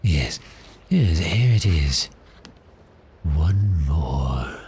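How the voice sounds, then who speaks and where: Casting: male actor for a genteel, pleasant male vampire in ASMR roleplay, bottomless bass register, faint noise behind the voice. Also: expressive, exaggerated voice. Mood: musing, pleasant. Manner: polite, unhurried, softly genteel with measured pauses. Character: cheerful, courteous male vampire posing as a bookshop customer.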